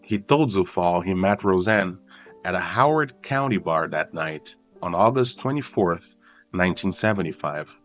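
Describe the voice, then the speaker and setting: A man with a fairly low voice narrates a true crime documentary. His delivery is solemn and suspenseful, moving up and down expressively and leaning on deliberate pauses. Soft music plays behind him. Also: animated. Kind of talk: scripted dramatic documentary narration. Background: background music.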